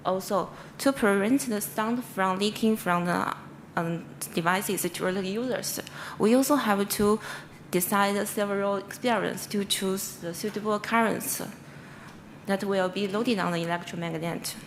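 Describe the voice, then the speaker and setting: A woman researcher with a Chinese accent gives an academic conference presentation in halting English, often stumbling and correcting herself. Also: mid-range voice. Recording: clearly noisy presentation recording.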